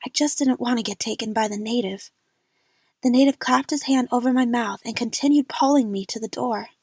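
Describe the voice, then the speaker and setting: A woman's voice: on the high side, fairly quick, darkish tone, slightly rough, dry recording. A woman narrating a first-person story dramatically for an amateur audio drama.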